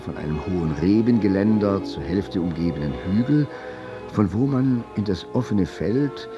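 An urbane literary narration for radio drama in a low male voice. The telling is measured and wry, on a slightly dulled recording with clear music underneath. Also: lo-fi sound.

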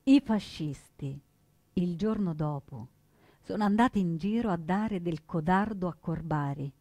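A woman playing an earnest partisan, recounting the resistance as scripted dramatic narration in solemn, deliberate phrases. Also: on the low side, warm tone, dry recording. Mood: grave.

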